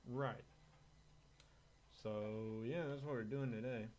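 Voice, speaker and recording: A man with an average-pitched voice gives an informal music tech demo. He talks in loose, laid-back bro slang with casual swearing, like a guitarist walking a friend through his sounds. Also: fairly even intonation, slow.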